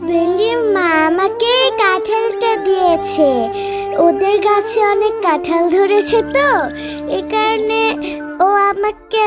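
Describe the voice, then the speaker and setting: Very high, pleading little cartoon girl. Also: takes it slowly, loud music behind the voice. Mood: eager, pleading. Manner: childlike, pleading, wheedling. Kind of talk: children's cartoon story acting.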